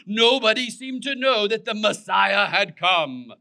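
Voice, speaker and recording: A man narrating a scripted children's puppet show story in an expressive, deliberate way. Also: sits high, gravelly.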